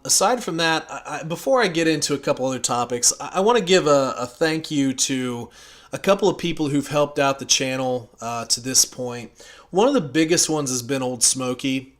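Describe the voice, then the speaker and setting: An American man talking casually and unscripted as a channel host, in a rambling, conversational way with hesitant pauses. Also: medium pitch, fairly bright tone. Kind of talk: casual unscripted audio log.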